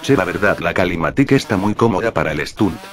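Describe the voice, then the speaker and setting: A man's voice: sits low, animated, brisk. A man giving casual, laid-back commentary as a gaming motovlogger. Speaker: gaming vlogger. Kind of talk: casual motovlog-style commentary over gameplay. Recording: engine noise over the voice. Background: buzzing motorbike engine from a video game.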